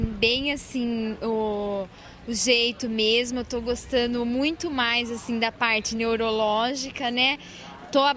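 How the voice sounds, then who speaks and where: A woman's voice: a little high, a bit bright. A woman in her twenties, a physiotherapy student, talking chattily with many fillers into a handheld mic in a school hallway. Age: 20s. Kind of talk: documentary interview. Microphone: shared handheld mic.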